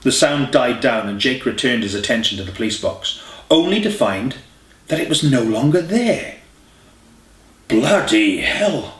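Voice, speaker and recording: A man's voice: medium pitch, lively intonation, normal speed. A man reading a scripted story as an audiobook narrator. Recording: roomy, echoey home recording.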